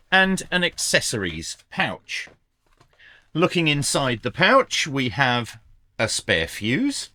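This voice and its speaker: A man presents a product review in a bright, crisp-edged voice with lively, moving intonation. He explains things plainly and matter-of-factly, speaking close to the microphone in a very dry recording. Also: mid-range voice, no room sound. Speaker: reviewer and channel host. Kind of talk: product review narration to viewers.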